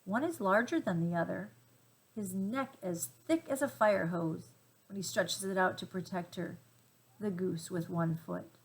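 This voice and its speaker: A woman reading a children's storybook aloud with gentle, deliberate phrasing and dramatic emphasis. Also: fairly low pitch, slightly rough, low-quality recording. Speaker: storybook reader.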